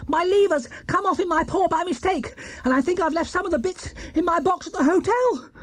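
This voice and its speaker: A man's voice: very high-pitched, animated, brisk, a bit bright, dry recording, pitched above the performer's own voice. A man voicing a small, polite young bear in a children's storybook, earnest and anxious in manner.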